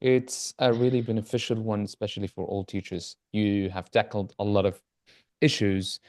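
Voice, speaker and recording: A man's voice: on the low side, flat delivery, normal speed, darkish tone, dry recording. A man speaks English as a panelist. He is friendly and agreeable, with a few fillers. The sound is dry and close over a video call. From Egypt. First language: Arabic. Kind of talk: casual online panel chat.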